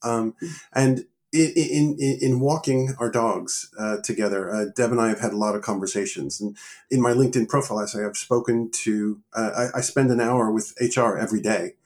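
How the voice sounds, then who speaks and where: Relaxed middle-aged American man. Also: medium pitch, normal speed. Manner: relaxed, joking, frequent self-restarts. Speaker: guest, engineering leader. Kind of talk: casual interview talk.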